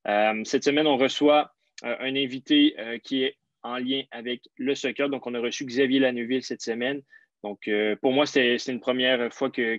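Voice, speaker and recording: A man's voice: medium pitch, fairly bright tone, dry recording. Québécois male podcast host, filler-heavy, dull dry audio. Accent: Québécois French. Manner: friendly, lots of fillers. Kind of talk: casual podcast interview. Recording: slightly dull lo-fi sound.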